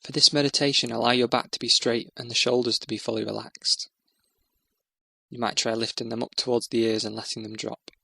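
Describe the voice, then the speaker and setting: Male meditation narrator: bright, crisp-edged delivery with creaky fry, soothing and measured. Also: mid-range voice, little pitch movement, dry recording. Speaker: meditation guide.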